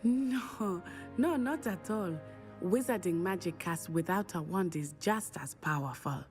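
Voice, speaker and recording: A woman's voice: on the low side, faint music behind, close to an everyday voice. Poised young witch played by a woman. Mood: thoughtful, assured. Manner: measured, formal, thoughtful phrasing. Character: teenage witch student, poised, gracious, fond of her old school. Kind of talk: video game dialogue acting.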